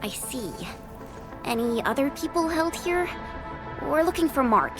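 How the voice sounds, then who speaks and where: A high-voiced, spirited young fighter. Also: female voice, animated, a little slow, music in the background. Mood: inquisitive. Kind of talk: video game voice acting.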